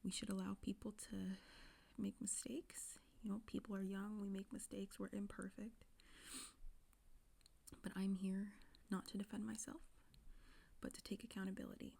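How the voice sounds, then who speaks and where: A young woman plays a self-pitying influencer who is close to tears. She speaks in a hushed, breathy whisper with a low, mellow tone and a very gravelly fry running through it. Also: level tone, normal speed, pauses often. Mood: whispery, self-pitying. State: on the verge of tears. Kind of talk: parody apology video.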